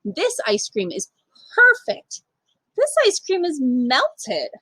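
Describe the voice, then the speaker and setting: A woman's voice: high voice, wildly swooping pitch, slow, sharp tone, no room sound, voice pushed up, exaggerated voice. A woman voicing young children, eager and easily hurt, with exclamatory, pleading delivery in a storybook reading. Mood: excited.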